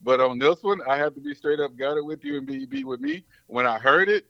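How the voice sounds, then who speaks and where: A man from Mississippi and New Orleans, a rapper talking loudly and casually in slang. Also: a little high, very animated, a little fast, gravelly, dry recording. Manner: loud, slangy, laid-back. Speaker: rapper guest. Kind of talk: casual interview chat. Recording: dull lo-fi dry sound.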